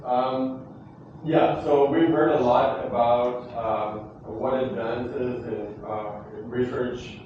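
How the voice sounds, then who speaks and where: A man presenting at a conference in a large hall, heard from some distance through a dull, muffled mic. He talks haltingly, pausing often as he searches for words. The space is very echoey and carries steady background noise. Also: medium pitch. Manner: hesitant, many fillers and restarts. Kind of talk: conference closing remarks.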